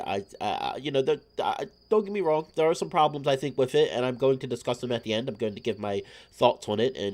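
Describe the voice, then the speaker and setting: A man's voice: mid-range voice, a little mellow. A slightly sick man hosting a let's play stream, chatting in a rambling, apologetic way. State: a little sick.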